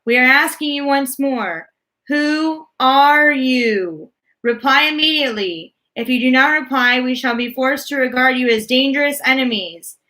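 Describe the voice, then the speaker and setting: A woman voicing a stern male mission-control voice that barks orders over a loudspeaker in a storybook reading. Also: sits high, a little slow, voice pushed up, rough recording. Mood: menacing. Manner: commanding, barked orders.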